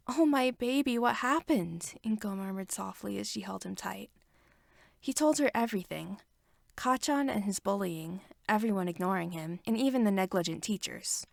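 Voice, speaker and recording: Woman reading a story, gravelly edge. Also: medium pitch, no echo, clean studio sound. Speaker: podfic reader. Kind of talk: reading fan fiction aloud.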